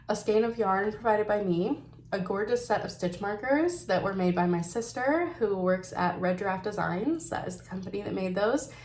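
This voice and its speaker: A woman with a stuffy, nasal voice from a cold hosts a knitting show, talking through her projects in an easygoing, chatty way. Her pitch sits in an ordinary middle range, and there is a faint hiss of noise behind her. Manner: chatty and upbeat, with fillers and slips. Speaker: knitting podcast host. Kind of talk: casual chat about knitting projects.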